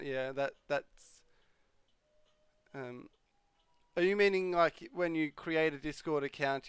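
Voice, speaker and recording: A man's voice: fairly high pitch, a bit bright, clear, resonant tone, no room sound. A young man hosting a live stream, chatting casually and answering viewers' questions. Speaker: livestream host. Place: home streaming room.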